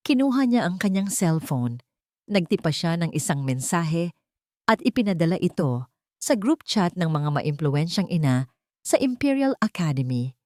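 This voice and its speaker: A Filipino woman narrates a romantic drama in a slightly low voice. The sound is dry and close, with very little room in it. Her delivery is that of a scripted story told for listeners. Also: very animated, moderate pace, warm tone, no echo.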